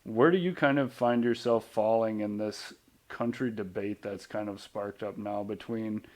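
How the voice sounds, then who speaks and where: Young man, lowish voice, laid-back host, casual questions. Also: a little slow. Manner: relaxed, swears casually, short backchannels. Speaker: podcast host. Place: radio studio.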